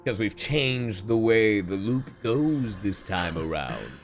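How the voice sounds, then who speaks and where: Casual livestream host needed: mid-pitched, hugely expressive and theatrical, talking over game music. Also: slow. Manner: theatrical reactions, swooping between exclamations and low asides. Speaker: streamer. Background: video game music and dialogue.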